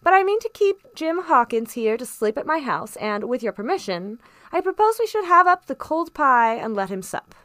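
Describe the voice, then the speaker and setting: A woman voicing a composed, genial gentleman doctor in a storybook, courteous, stately and shrewd. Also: high voice, sing-song, moderate pace, no echo, pitched above the performer's own voice.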